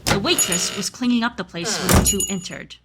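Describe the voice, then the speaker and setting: A woman's voice: mid-range voice, a little fast, heavy background noise. A woman reading scripted story narration for a radio play. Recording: music playing behind the voice.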